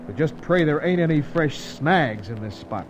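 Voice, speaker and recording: An actor playing a gruff, cagey American pilot with blunt, slangy talk in an old-time radio serial. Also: male voice, a little high, animated, moderate pace, lightly acted. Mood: gruff, decisive. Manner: slangy, blunt, grudging tough-guy talk. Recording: dull, faintly noisy old radio recording.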